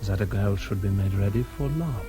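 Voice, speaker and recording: A man's voice: lowish voice, moderate pace, music in the background, close to an everyday voice. An actor playing a suave, flirtatious Austrian man with a German-speaking accent in a radio drama, teasing and a little formal. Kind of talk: British radio drama acting.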